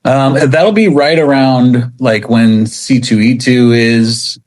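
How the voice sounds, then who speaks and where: A man chatting casually as an interview guest, talking about his work in a halting, laid-back way. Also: mid-range voice, darkish tone. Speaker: interview guest, comic book writer.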